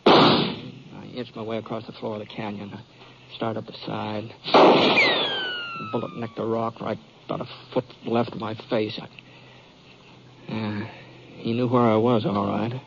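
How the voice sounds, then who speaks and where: A man's voice: lowish voice, huge pitch swings, a little slow, creaky, pauses often, very noisy, exaggerated voice. An actor playing an easygoing, folksy cowboy hero who tells his tale with a hesitant drawl in a 1950s radio western. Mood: tense, hushed. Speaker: lead actor and narrator. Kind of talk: 1950s radio western acting, first-person storytelling. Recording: dull 1950s radio recording, music behind.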